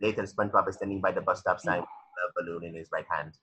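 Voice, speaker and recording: A man's voice: low-pitched, steady tone, quick pace, pushed and bright, breathy edge. A man narrating a cartoon script's stage directions in a matter-of-fact way, sometimes stumbling over the words. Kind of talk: reading a cartoon script aloud.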